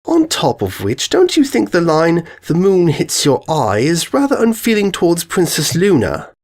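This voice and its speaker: A man plays a prim society mare from a storybook. She speaks in a posh, snooty lilt pitched well above a man's voice and scolds with relish. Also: huge pitch swings, moderate pace, a little mellow, full character voice. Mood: scolding. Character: prim, haughty adult female pony of high society.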